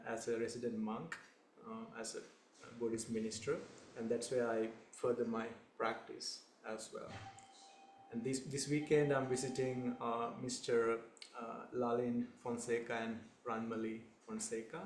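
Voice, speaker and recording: Calm young monk from Sri Lanka. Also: male voice, mid-range voice, reverberant, slight background noise. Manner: calm, unhurried, speaks in short phrases. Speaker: Buddhist monk leading meditation. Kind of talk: guided meditation talk.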